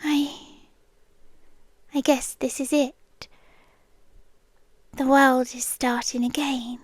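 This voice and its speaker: A young British woman speaks in a high, soft voice, drawing out every phrase very slowly. Much of it is whispered, intimate and close to the microphone. The recording is dry, with no room sound. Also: flat delivery, breathy edge, no room sound. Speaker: ASMR roleplay performer. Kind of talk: scripted ASMR girlfriend roleplay.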